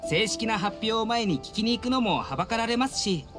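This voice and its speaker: Courteous young servant, music behind. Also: male voice, sits high, animated, fairly quick. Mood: earnest, speculating. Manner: courteous, formal polite speech. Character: polite young male servant of a noble house, earnest. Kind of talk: Japanese anime game voice acting. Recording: studio voice over game music. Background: game music.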